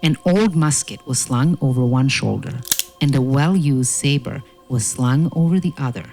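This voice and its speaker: A man narrating a fairy tale from a script in a measured, dramatic, deliberate way. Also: mid-range voice, vocal fry, mellow, soft background music, poor audio.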